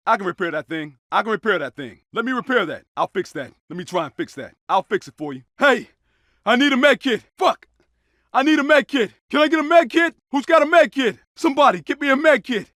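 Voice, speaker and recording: An actor playing a tough, urgent American police officer in a combat video game, speaking in clipped, forceful barks. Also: male voice, very high voice, no room sound, studio quality. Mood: businesslike, then hurt, pleading. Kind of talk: short shouted combat barks.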